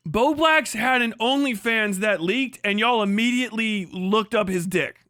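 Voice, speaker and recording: A man's voice: extremely high pitch, sharp tone, dry recording, clean studio sound. A man hosting a livestream, delivering a profane, mocking commentary rant and laughing often. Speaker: livestream host.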